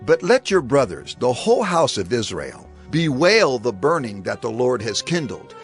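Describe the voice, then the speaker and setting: An authoritative prophet laying down commands to his priests in a scripture drama. His voice is mid-pitched and swings expressively, solemn and grave, with music playing underneath. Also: very animated, normal speed. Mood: stern, urgent.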